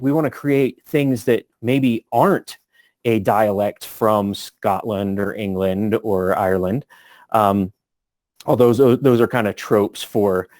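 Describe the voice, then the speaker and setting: A man's voice: lowish voice, a little mellow, dry recording. A man giving a casual instructional talk as a tutor, thinking aloud as he explains. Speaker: voice tutor for tabletop gamers.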